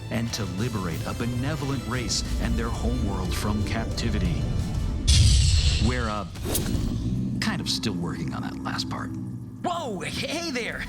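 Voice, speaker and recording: Flippant young mech pilot, lively pitch swings. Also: male voice, a little slow, long pauses. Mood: nervous joking. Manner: flippant, stammering, eager to be liked. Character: young wisecracking, nervous male mech-pilot soldier from Earth. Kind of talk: animated motion-comic acting and narration. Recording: loud music behind the voice.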